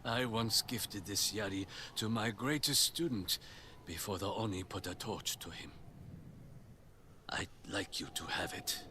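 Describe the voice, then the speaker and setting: Low-voiced seasoned spear master. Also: male voice, sharp tone. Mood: earnest. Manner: instructive, measured, commanding. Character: seasoned male spear master, stern yet encouraging teacher. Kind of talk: video game character acting. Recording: clear noise of game ambience.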